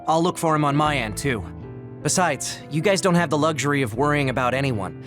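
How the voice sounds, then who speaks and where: An actor playing a practical young male ally in an anime game, matter-of-fact and brisk. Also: on the high side, expressive, fairly bright tone, soft background music. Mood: concerned. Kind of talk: anime game cutscene dialogue.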